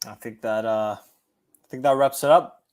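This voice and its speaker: A man hosting a casual football podcast who speaks in an easygoing way. His voice has an average pitch. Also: fairly even intonation, a little slow, a little mellow, clean tone. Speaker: podcast host. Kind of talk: casual chat.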